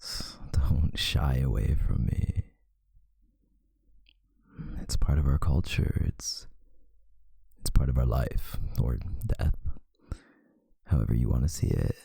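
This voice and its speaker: A man voicing a possessive yet tender male vampire lover in an intimate, hushed ASMR roleplay, often slipping into a whisper. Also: no echo, studio quality, heavily acted. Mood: tender, soothing. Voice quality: very deep, breathy, gravelly, often whispering. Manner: slow, hushed, soothing, seductive.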